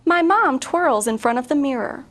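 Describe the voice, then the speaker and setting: High-voiced woman reading to children. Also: moderate pace. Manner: expressive, questions to young listeners. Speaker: guest reader, teacher. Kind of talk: reading a picture book aloud to children.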